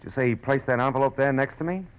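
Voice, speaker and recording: An American actor playing a tough, wisecracking private detective in an old radio drama, terse and slangy. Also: male voice, medium pitch, rapid-fire, clear, resonant tone, natural voice. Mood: suspicious. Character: hard-boiled, wisecracking, suspicious male private detective. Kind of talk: 1940s-50s radio detective drama acting, first-person narration and dialogue. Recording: noisy old radio broadcast recording.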